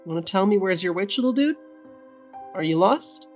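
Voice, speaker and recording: A woman voicing a gentle, curious young male witch with a soft, casual way of talking in an audio drama. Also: mid-range voice, lively intonation, normal speed, soft background music, close to an everyday voice. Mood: gentle, reassuring. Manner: soft-spoken, kindly, casual. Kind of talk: audio drama character acting.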